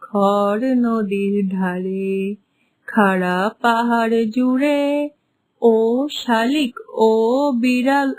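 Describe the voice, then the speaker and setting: A woman's voice: fairly high pitch, steady tone, drawn-out words. A woman voicing a naive, trusting rooster in a children's cartoon. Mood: pleading. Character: naive trusting yellow-crested rooster, plaintive when caught. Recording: faint background noise.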